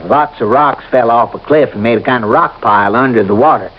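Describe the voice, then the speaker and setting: Actor as a homespun camp owner, plain-spoken, old-time radio drama, muffled. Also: male voice, medium pitch, a little fast, lightly acted. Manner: folksy, drawling, plain grammar. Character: folksy male fishing-camp owner. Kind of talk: 1950s radio drama acting. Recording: dull old radio broadcast, faint hiss.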